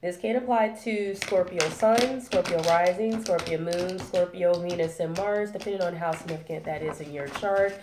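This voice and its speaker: A woman's voice: fairly low pitch, normal speed, hardly any pauses, reverberant, background noise. A woman with a head cold, sounding nasal, giving a chatty tarot reading full of fillers and check-ins. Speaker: tarot reader. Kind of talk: casual spoken tarot reading.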